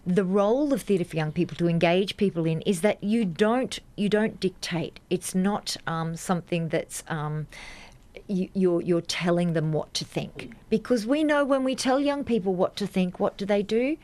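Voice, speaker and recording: An Australian woman with a lowish voice speaks as an interview guest. She explains things earnestly, like a teacher, repeating phrases for emphasis. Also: normal speed, a little mellow. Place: radio studio.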